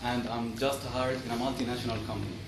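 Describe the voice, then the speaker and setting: A man giving a prepared motivational storytelling speech in short, simple, deliberate sentences. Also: medium pitch, steady tone, loud music behind the voice. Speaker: club member giving a speech. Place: meeting room, recorded from a distance. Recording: echoey room, distant mic, noisy.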